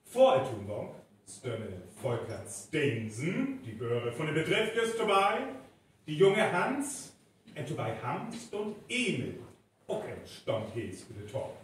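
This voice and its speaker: A man's voice: on the high side, very animated, slow, far from the mic. A man with a North Frisian accent playing the dramatic narrator of a live radio play. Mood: measured narration. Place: reverberant venue, distant microphone. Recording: very echoey live recording, faint noise.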